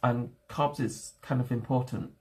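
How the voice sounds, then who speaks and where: A young man whose first language is not English, chatting casually to his livestream viewers from home and thinking aloud in halting, restarting phrases. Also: medium pitch, fairly even intonation. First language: a home language other than English. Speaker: streamer.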